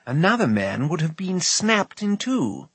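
A man voicing a spirited, fretful bard with a raspy, exclamatory delivery in a storybook reading. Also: on the high side, measured pace, no room sound, voice pushed up, exaggerated voice. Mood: worried. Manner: animated, exclamatory.

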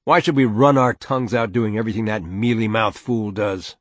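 A man voicing a gruff, short-tempered farmer father in a storybook reading, emphatic and contemptuous. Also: on the low side, fairly even intonation, a little fast, no echo, lightly acted. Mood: angry scorn.

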